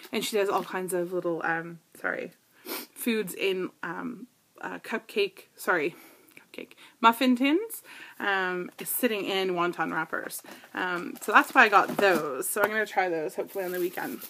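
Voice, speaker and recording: A woman's voice: lowish voice, moderate pace, a bit bright, a little hiss or hum. A woman in her thirties from Canada, chatting casually through a grocery haul video with frequent ums and small asides, recorded on a tablet in a living room. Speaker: vlogger. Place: living room with couch, indoors. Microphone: tablet camera built-in mic.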